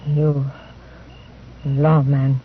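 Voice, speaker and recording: A performer acting a guarded, defiant young frontier wife in a radio western, speaking clipped, halting broken English in a husky voice. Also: mid-range voice, flat delivery, slight background noise. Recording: tinny, phone-like vintage radio recording.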